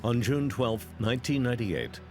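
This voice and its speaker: A man narrating a true-crime documentary in a scripted, dramatic and deliberate style. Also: mid-range voice, expressive, music in the background.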